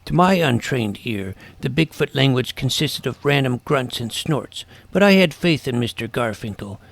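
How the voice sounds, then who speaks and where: A man's voice: mid-range voice, lively intonation, normal speed, gravelly. A man playing an earnest, imaginative boy detective, narrating his own case in wordy, mock-serious audio drama style. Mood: earnest, suspenseful. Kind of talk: scripted first-person narration with dialogue.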